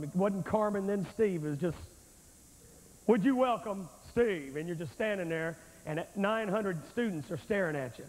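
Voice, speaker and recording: Fortyish guy preaching on a church stage, high voice, handheld mic, long echo off the hall, old vhs tape sound. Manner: animated, confrontational storytelling, acts out his own lines. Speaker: evangelist preacher. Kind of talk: revival sermon storytelling. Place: large church sanctuary, on stage. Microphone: handheld microphone.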